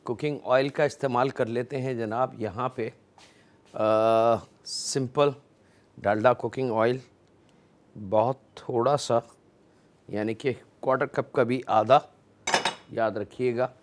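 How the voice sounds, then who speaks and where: A man's voice: mid-range voice, steady tone, slow, long pauses. A man with a Pakistani Urdu accent hosting a cooking show, politely addressing viewers as he explains each step of the recipe.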